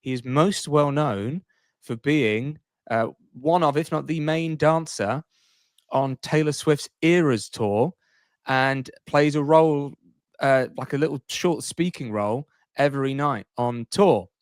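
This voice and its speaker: A man's voice: medium pitch, unhurried, dry recording. Playful man chatting on a dry mic. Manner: rambling, playful, jokey. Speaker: podcast co-host. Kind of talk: casual podcast chat.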